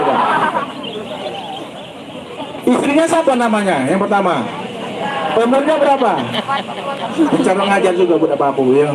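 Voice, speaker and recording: Brief: middle-aged male preacher, very high voice, playful quizzing style, echoey noisy hall. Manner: joking, quizzes the audience. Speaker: ustaz. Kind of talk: humorous sermon to school students. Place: school event hall. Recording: echoey hall, background noise and traffic.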